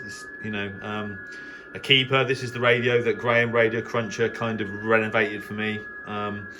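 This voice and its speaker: A middle-aged man with an English accent speaks in a very low register. He talks off the cuff like a hobbyist giving a tour, understated and rambling, with frequent hesitations. The home recording has clearly audible background noise. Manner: hesitant, understated, rambling delivery with trailing asides. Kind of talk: casual unscripted video tour. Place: indoor home radio shack.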